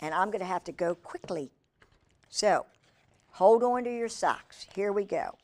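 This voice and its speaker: A woman reading aloud to young children in a deliberate, expressive storytime manner, speaking directly to her listeners. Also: medium pitch.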